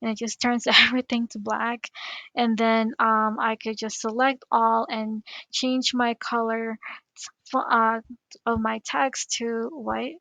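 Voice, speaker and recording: Filipino accent lady teacher, kinda gravelly voice, dry close mic, chatty how-to at her computer. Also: on the high side, little pitch movement, slow, bright, forceful tone, dry recording, low-quality recording. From Philippines. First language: Tagalog. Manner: chatty, explains step by step while clicking. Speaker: teacher coach. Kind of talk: screen-recorded tutorial.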